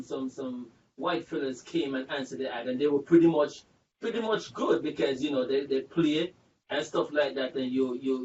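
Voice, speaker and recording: Host laughing through rambling questions, echoey. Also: male voice, on the high side. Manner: laughs easily, rambling questions, patois phrasing. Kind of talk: casual interview. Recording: distant echoey lo-fi with faint room noise.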